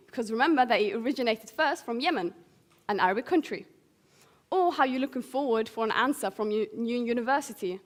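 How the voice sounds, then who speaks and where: A teenage young woman from Syria giving an earnest prepared public speech. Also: on the high side. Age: teens. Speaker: young guest speaker.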